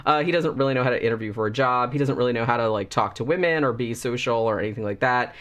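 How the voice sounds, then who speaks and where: A man's voice: mid-range voice, fast, fairly bright tone. A man hosting a casual movie review, talking through a cold with a slightly congested voice in a rambling, chatty way full of fillers.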